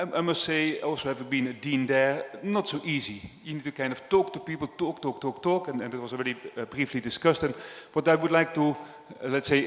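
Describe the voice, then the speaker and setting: A man from the Netherlands, chairing a panel in a relaxed, chatty way with frequent ums. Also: mid-range voice. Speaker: session chair. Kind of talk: conversational panel hosting. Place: large hall on stage. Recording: echoey hall with long reverb.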